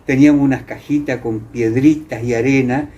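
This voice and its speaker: An older man with an Argentine accent from Entre Ríos, reminiscing in a conversational interview, haltingly searching for words and repeating himself. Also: medium pitch, steady tone, mellow, lo-fi sound. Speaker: interviewee, former radio theatre actor.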